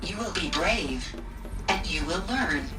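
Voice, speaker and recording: A woman voicing a calm, maternal robot caretaker in a video game, with a measured, formal manner. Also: lowish voice. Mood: gently firm. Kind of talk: video game cinematic acting. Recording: roomy, distant, music behind.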